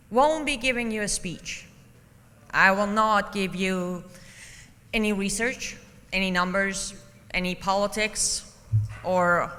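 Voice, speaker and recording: A woman from Iran, a native Persian speaker, giving a personal testimony as a conference speaker, deliberate and emphatic with dramatic pauses. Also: mid-range voice, pushed and bright. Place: conference hall, public address. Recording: reverberant hall.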